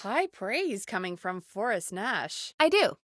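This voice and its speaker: An actress playing a friendly, teasing woman who produces a small-town radio show in a video game, talking in an earnest, expressive, chatty way. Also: medium pitch, takes it slowly, no echo, clean studio sound. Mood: playful, teasing. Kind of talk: video game voice acting, conversational.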